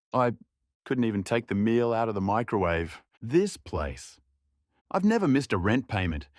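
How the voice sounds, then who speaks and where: A young man narrates a scripted audio drama in a subdued, reflective inner voice. His pitch sits a little low, and the recording is close and dry. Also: a little mellow, no echo.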